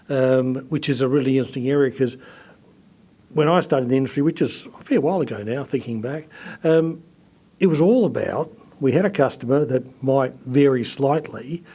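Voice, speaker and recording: A man's voice: mid-range voice, dark tone. Man presenting at a seminar. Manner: conversational, with frequent fillers and restarts.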